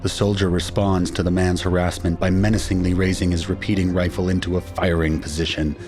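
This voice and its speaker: A man acts as an anguished father telling of his ordeal in scripted first-person audio drama narration. His voice is low and grave, with dramatic delivery over clear background music. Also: flat delivery, fairly quick, close to an everyday voice. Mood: grave, tense.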